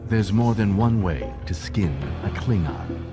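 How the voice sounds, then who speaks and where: A man's voice: on the low side, expressive, unhurried, over loud music, voice pushed up. A man voicing a bold, teasing woman warrior in an audiobook, playful and challenging. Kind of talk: audiobook character acting.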